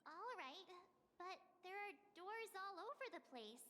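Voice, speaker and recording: A woman voicing a small, perky, curious childlike companion in a video game, squeaky, cute and inquisitive. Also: measured pace, slight background noise, exaggerated voice. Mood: puzzled, curious. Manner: inquisitive, expressive. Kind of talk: scripted video game voice acting. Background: game music.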